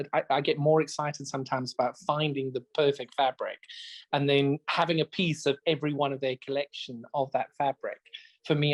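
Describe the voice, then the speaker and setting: A man from Zimbabwe co-hosting a podcast, chatting in an effusive, storytelling way. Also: medium pitch. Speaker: podcast co-host. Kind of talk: casual chat.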